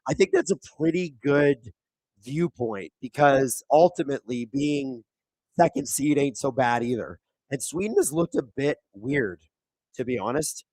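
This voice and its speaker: A man with a thin, raspy, hoarse voice hosts a live hockey watchalong, chatting casually, reading viewer comments and calling plays excitedly. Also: medium pitch, unhurried, dry recording, rough recording. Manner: casual, reads viewer chat aloud; excitable play-by-play on big moments. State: hoarse, voice squeaking. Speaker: watchalong host. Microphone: streaming microphone.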